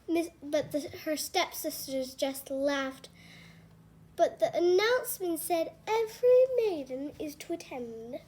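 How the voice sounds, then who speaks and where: A woman's voice: high voice, animated, takes it slowly, a little mellow. A woman reading a children's storybook aloud as a storyteller.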